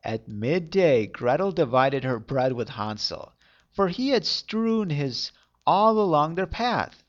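A man's voice: on the high side, sing-song, slow pace, dull audio. A man narrating a fairy tale as a storyteller, reading expressively.

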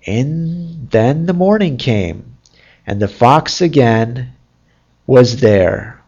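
A storyteller reading a fairy tale as scripted narration in a measured, deliberate way. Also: medium pitch, lively intonation, a little mellow, breathy edge, muffled recording.

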